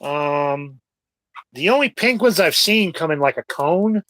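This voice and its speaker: Brief: male co-host, fairly high bright voice, dry close sound, jokey and easygoing. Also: lively intonation, unhurried, no room sound. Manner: friendly, joking, easygoing. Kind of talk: casual livestream chat. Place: Alaska.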